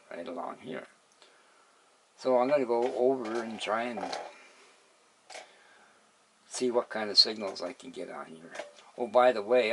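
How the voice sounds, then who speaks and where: A man's voice: fairly low pitch, fairly bright tone. An older man giving an unscripted, hesitant demonstration as a hobbyist explaining his equipment in plain terms. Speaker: hobbyist radio restorer demonstrating a set. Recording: noisy home video with background hiss.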